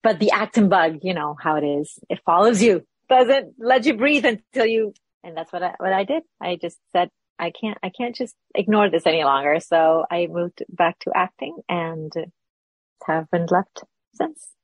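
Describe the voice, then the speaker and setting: Woman from Peru, husky low voice, dry close sound, thoughtful interview guest. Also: normal speed, dry recording. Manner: thoughtful, searching for words with restarts. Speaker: actress, guest. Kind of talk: casual interview chat.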